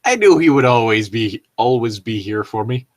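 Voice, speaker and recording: A man with a very lively, swinging voice reads bad fiction aloud for comedy. He sounds amused and mocking, dropping into casual, sweary commentary as he goes. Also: mid-range voice, moderate pace. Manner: amused, mocking, breaking into chuckles. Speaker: co-host reading bad fan fiction aloud.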